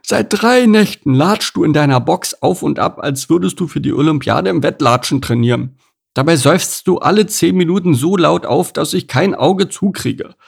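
A man's voice: a little high, lively intonation, normal speed, gravelly, husky, pitched above the performer's own voice, heavily acted. A man voicing a blunt, grumbling farm pig with sarcastic, exaggerated complaints in a storybook. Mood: grumpy, sarcastic. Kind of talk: storybook character voice.

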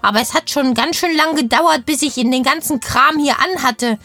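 Very high-pitched chatty little girl. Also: normal speed, pushed and bright, faint music behind. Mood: chatty, cheerful. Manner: chatty, childlike slangy German. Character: cheeky, curious kindergarten girl named Lotte. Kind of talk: children's audio play interview.

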